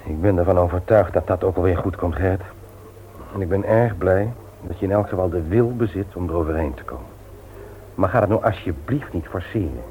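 An actor in a radio play, playing an earnest family man who speaks frankly and in a measured way. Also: low-pitched, mellow. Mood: earnest, admonishing. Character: Willem, earnest frank friend, husband and doting father. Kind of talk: Dutch radio play acting. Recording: tinny, noisy old radio play recording.

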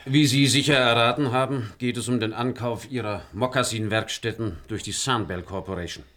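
An actor playing a smooth, calculating corporate manager in a German radio drama, polite and insinuating. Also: male voice, medium pitch, a little fast, a bit bright, natural voice. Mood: polite, businesslike.

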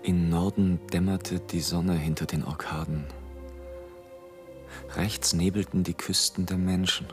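Low-voiced, rhapsodic airship adventurer. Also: male voice, steady tone, a little slow, over music. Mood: awed, hushed reverie. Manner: declamatory, poetic, dramatically phrased. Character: fervent, rhapsodic male airship adventurer narrating his flight. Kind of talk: theatrical radio play monologue.

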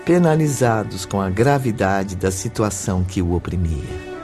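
A man's voice: fairly low pitch, moderate pace, lightly acted. A man with a Brazilian accent narrating a spiritual radio drama as a solemn storyteller. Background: music.

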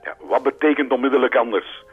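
An impatient mayor over a tinny phone line. His husky voice fires off curt orders quickly. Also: mid-range voice, fairly even intonation, soft background music. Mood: impatient, commanding. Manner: curt, commanding. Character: bossy, impatient male mayor barking orders. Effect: telephone filter. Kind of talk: radio drama acting. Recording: tinny narrow telephone line.

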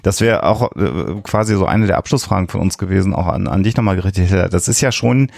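A man's voice: sits low, fast, gravelly, darkish tone, no room sound, clean studio sound. A man hosting a conversational interview, phrasing his questions in a hesitant, roundabout way. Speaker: podcast host and interviewer.

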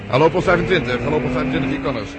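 Fast-talking police inspector played by a man, noisy recording. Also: close to an everyday voice. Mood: brisk, controlled. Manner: businesslike, clipped. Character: brisk, level-headed male police inspector, dutiful. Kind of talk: radio drama acting. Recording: noisy radio play recording, engine-like rumble.

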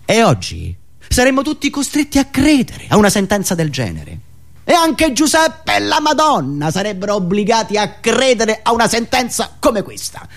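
A man with a high voice and very lively, swooping intonation delivers satirical monologue on the radio. He is sardonic and theatrical, leaning on rhetorical questions, pauses and repetition.

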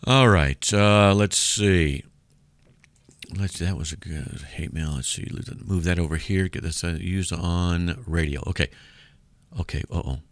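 Deep-voiced joking radio host. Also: male voice, unhurried, no room sound. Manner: joking, sarcastic. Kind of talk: call-in radio talk.

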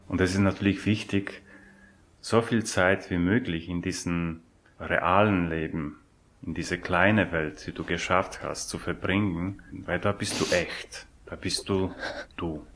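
A middle-aged man giving a reflective personal account as an interviewee, in a halting, pensive way. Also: sits low, steady tone, fairly bright tone.

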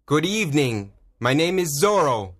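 A man voicing a courteous young nobleman and masked hero in a read-aloud story, calm and polite. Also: on the high side, moderate pace, voice pushed up. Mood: bold.

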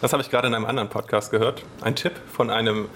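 A man's voice: lowish voice, fairly even intonation, racing, fairly bright tone, slight background noise. A young man co-hosting a podcast, chatting casually and laughing often. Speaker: podcast co-host. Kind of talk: casual banter.

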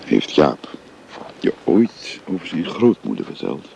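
A gravelly, very deep-voiced old father. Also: big pitch swings, unhurried, mellow, muffled recording, a little hiss or hum, heavily acted. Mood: gentle, confiding. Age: older. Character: older father telling his family history. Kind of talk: radio drama acting. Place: recorded on location.

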